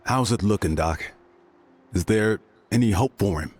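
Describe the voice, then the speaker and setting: A man voicing an earnest, concerned adult warrior of a tribe in a game, with a husky voice. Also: on the low side, a little slow, darkish tone. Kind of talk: English game voice acting.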